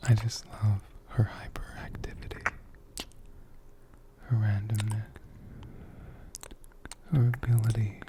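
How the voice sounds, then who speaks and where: An ASMR host whispering softly and gently, with a breathy voice and deliberate pauses. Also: mid-range voice, little pitch movement, background noise. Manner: gentle, slow, deliberate, with pauses mid-sentence. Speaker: host of an ASMR channel answering viewer questions. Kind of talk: soft-spoken whispered ASMR.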